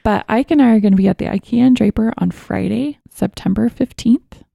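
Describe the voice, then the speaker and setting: A woman's voice: on the high side, a little fast, gravelly, dark tone, no room sound, studio quality. A woman co-hosting a food podcast, chatting in an upbeat, casual way. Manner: upbeat, reads listener emails aloud. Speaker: podcast co-host. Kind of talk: casual chat.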